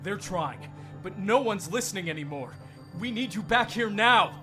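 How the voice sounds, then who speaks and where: Guy doing panicky high voiced friend, out of breath, sharp, faint music under, audiobook drama. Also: expressive, moderate pace. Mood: urgent. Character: panicked, out-of-breath male friend bringing bad news.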